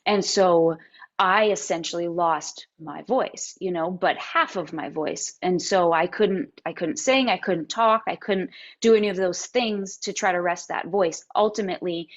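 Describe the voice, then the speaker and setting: A woman in her thirties with a slightly raspy voice, telling her story as an interview guest, animated and with halting restarts. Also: fairly low pitch. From Canada and the United States. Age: 30s. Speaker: interview guest, singer-songwriter. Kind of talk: candid interview conversation. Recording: dull lo-fi audio.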